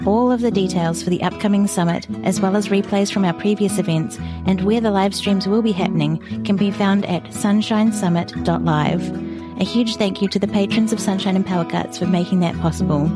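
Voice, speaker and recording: A woman's voice: mid-range voice, brisk. A woman podcaster reading a scripted promotional announcement. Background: plucked guitar music.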